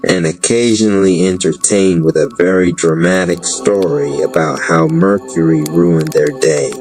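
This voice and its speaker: A man with a very low voice narrates a scripted story over clear background music. He takes his time, speaking slowly, with a wry sense of drama. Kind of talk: scripted spoken-word narration.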